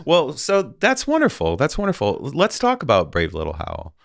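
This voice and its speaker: A man hosting a studio interview, encouraging and quick with short agreements. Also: medium pitch, wildly swooping pitch, gravelly, dry recording. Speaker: podcast interviewer. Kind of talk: casual interview conversation. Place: recording studio.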